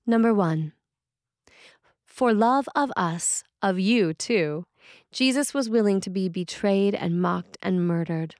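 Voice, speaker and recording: A woman priest reading a scripted sermon in a measured, deliberate and solemn manner. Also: medium pitch, darkish tone, no room sound, clean studio sound. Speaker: Episcopal priest reading a sermon written by a colleague. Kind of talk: read sermon, scripted narration.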